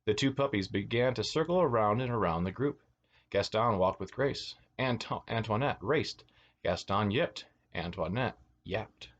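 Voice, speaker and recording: A man's voice: medium pitch, moderate pace, highs cut off. A man reading a children's storybook aloud as a teacher, expressively, with asides to his young listeners. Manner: expressive, acts out words and exclamations.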